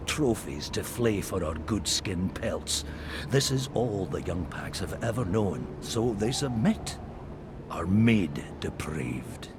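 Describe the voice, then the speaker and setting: An actor playing a bitter, ominous werewolf elder in a video game, speaking solemnly like an archaic orator in a breathy growl. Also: male voice, rumbling low, background noise. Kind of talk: video game dramatic monologue.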